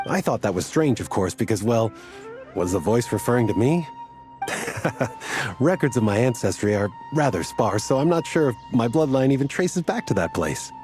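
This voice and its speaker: A man's voice: medium pitch, big pitch swings, normal speed, darkish tone, soft background music, lightly acted. A man voicing an earnest, flustered man who meanders and gets sidetracked while recounting a strange ordeal. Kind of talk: game cutscene dialogue.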